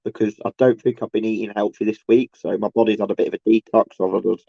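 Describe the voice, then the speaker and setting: A man with a croaky, tired voice and a sore throat from a cold, rambling casually and haltingly as a solo video host, repeating himself and swearing in exasperation. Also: fairly low pitch, fairly even intonation, dry recording. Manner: halting, repetitive, searching for words. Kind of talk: casual rambling monologue to camera. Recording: dull, lo-fi sound.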